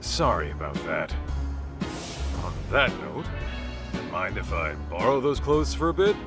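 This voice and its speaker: A man's voice: sits low, sing-song, unhurried. An actor playing an easygoing, blunt, streetwise tough guy in a video game, speaking in a casual, offhand way. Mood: casual, teasing. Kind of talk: naturalistic video game voice acting. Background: music.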